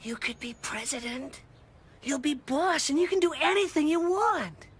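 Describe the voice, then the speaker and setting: A woman's voice: sits high, animated, moderate pace. A woman voicing Tubby, a chubby, boastful boy who leads a club and talks in a scheming, bossy way. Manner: scheming, bossy, pleased with himself. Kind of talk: cartoon voice acting. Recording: studio voice over loud cartoon music.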